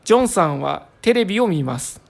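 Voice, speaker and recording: A woman's voice: lowish voice, big pitch swings, slow pace, creaky. A woman who is a native Japanese speaker, reading a short comprehension text aloud with care for learners. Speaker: reader of lesson passages.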